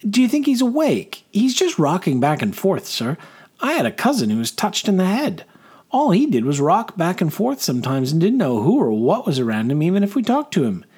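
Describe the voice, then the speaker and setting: A male narrator puts on a lowly private in an audiobook, higher than his normal voice. The soldier is simple, earnest and deferential to his superiors. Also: huge pitch swings, fairly quick, darkish tone, husky, full character voice. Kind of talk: audiobook character dialogue.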